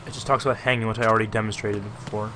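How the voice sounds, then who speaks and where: A man's voice: lowish voice, level tone, rapid-fire, very noisy. A man giving casual, wry game commentary in an offhand, muttering way as he plays. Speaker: let's player. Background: game music and sounds.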